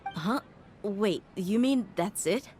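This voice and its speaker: A woman playing a confident, outspoken female mercenary in an anime-style video game, talking in a casual, expressive way. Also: mid-range voice, slow pace, faint music behind. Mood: incredulous, needling.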